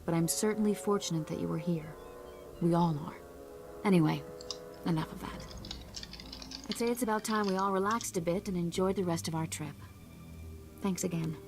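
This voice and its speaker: A woman's voice: on the low side, normal speed, pauses often, lightly acted. An actress playing a poised, gracious female ambassador with a formal manner in a space opera video game. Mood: warm, reassuring. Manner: formal, composed. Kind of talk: scripted video game acting. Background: music.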